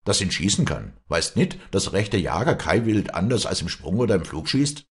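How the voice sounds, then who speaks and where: A man's voice: deep voice, big pitch swings, a little fast, voice pushed up. A man playing a brash, stubborn, commanding hunter with a Bavarian-Austrian Alpine accent, curt and challenging, in an audiobook. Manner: curt, challenging, gruff dialect. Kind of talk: dramatic audiobook character dialogue.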